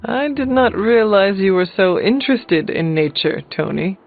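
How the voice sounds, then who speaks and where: An actor playing a stoic, formal warrior hunter in a video game, speaking bluntly in a measured way. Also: male voice, high voice, lively intonation, narrow, tinny audio. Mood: dry. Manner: measured, formal, without contractions. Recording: thin band-limited audio, faint music.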